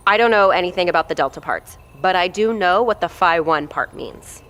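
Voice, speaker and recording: A woman's voice: on the low side, fairly quick, a bit bright, natural voice. An actress playing a blunt, foul-mouthed woman who heads a research facility, talking in a commanding way in an audio drama. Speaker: facility head.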